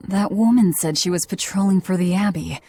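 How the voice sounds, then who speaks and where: A woman's voice: fairly low pitch, a little fast, mellow, a little hiss or hum, close to an everyday voice. An actress playing a guarded, blunt young demon woman in a game, speaking curtly. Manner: curt, blunt.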